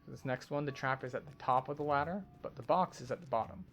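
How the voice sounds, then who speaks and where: A man's voice: mid-range voice, fast, fairly bright tone. A man giving matter-of-fact walkthrough commentary as a game tour guide, explaining things as he goes. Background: game music.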